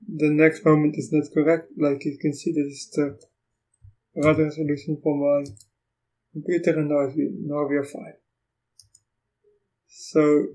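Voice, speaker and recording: A man whose first language is Afrikaans gives a casual walkthrough of software while recording his screen, thinking aloud in halting phrases. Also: on the high side, low-quality recording. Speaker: Linux software reviewer.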